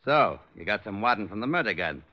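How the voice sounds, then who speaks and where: An actor playing a slow-witted, blustering police officer with a gruff, blunt, ungrammatical way of talking, in old radio drama style. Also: male voice, on the low side, sing-song. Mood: gruff, baffled. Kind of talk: 1940s-50s radio drama acting. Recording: muffled old radio recording.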